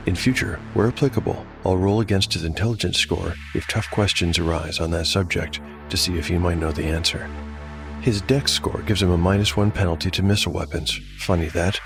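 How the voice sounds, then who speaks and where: A man with a very low, creaky voice narrates a fantasy tabletop game. His delivery is measured and reflective, like a scripted game master, with music clearly playing underneath.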